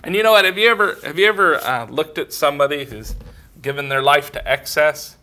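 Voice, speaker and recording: A man's voice: brisk, sharp tone. A man in his forties preaching a sermon fervently, building up as he speaks. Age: 40s. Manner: fervent, building in pitch and volume.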